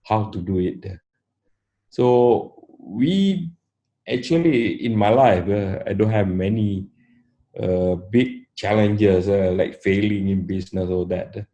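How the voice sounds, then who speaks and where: A Malaysian man in his sixties, giving an interview in an unhurried, matter-of-fact way with many "uh" pauses. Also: lowish voice, vocal fry, mellow. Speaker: interview guest, company founder. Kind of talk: relaxed interview storytelling.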